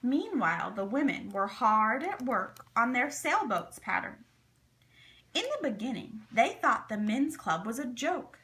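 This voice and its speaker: Woman doing a kids read-aloud, high voice, slow and really expressive. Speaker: storybook reader.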